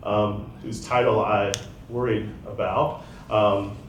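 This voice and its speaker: A man speaking as a panelist writer, halting and hesitant, with frequent ums. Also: lowish voice, level tone, recorded from a distance, lo-fi sound. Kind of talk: panel discussion. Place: event room in a library. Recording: echoey, off-mic, faint noise.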